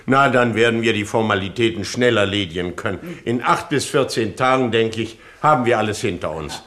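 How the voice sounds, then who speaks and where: An actor playing a polite, faintly uneasy prison director in a radio satire, hesitant and dryly formal. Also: male voice, on the low side, lively intonation, a little fast, a bit bright. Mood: dryly amused. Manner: hesitant, dry, formally courteous. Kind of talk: radio crime satire acting.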